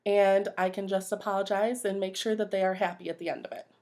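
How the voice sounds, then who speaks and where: A young adult woman with a medium-pitched voice answers questions in a job interview. She sounds earnest and slightly nervous, explaining her experience and suitability as an applicant. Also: fairly even intonation, fast, darkish tone.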